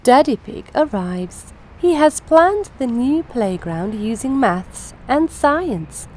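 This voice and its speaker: A woman's voice: sits high, very animated, slow, darkish tone, a little hiss or hum, rough recording. A woman reading a children's storybook aloud as a narrator. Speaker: storybook reader and channel host.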